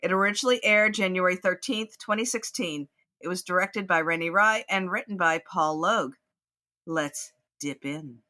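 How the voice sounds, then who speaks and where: A woman co-hosting a podcast, her voice raspy from being sick, chatting casually. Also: fairly low pitch, moderate pace, bright, forceful tone. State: raspy from covid. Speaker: podcast co-host. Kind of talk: casual chat.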